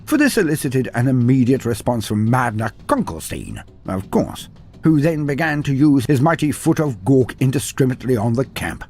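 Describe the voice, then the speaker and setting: A man's voice: mid-range voice, sing-song, moderate pace, a little mellow, soft background music. A man giving scripted dramatic narration of fantasy war lore in a theatrical, wry storybook manner.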